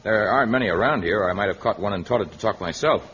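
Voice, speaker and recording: A man's voice: fairly low pitch, quick pace, fairly bright tone, breathy edge. A man playing a confident, good-humoured jungle hero in an old radio drama. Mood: amused, teasing. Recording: muffled vintage radio recording.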